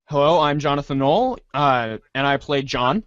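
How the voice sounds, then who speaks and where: A man's voice: medium pitch, lively intonation, normal speed, a bit bright, smooth, no echo, lo-fi sound. A man casually hosting a readers' theater show.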